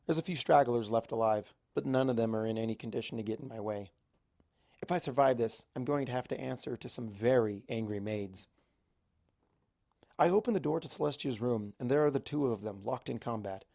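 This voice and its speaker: Male amateur narrator for first-person fiction, mid-range voice with noticeable creak. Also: mid-range voice, fairly quick, dark tone.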